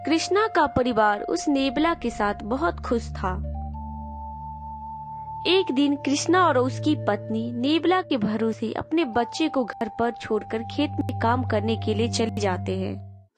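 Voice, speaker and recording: A woman's voice: on the high side, expressive, slow pace, over music. A woman narrating a scripted moral story as a storyteller.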